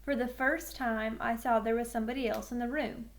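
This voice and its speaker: A woman with a fairly high voice narrates a children's novel in the first person. She reads expressively, as a storyteller. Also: steady tone, fairly quick. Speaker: read-aloud reader.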